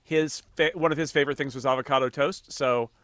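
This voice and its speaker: A man's voice: fairly high pitch, steady tone, a little fast, fairly bright tone, no echo, clean studio sound. A middle-aged man telling wry anecdotes in casual chat before a tabletop game. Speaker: player.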